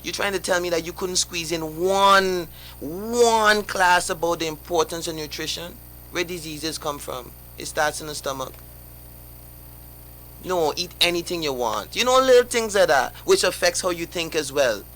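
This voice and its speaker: A man's voice: on the high side, normal speed, bright, forceful tone, low-quality recording. A young man, a guest on a live radio interview, talking passionately in rhetorical questions with many restarts.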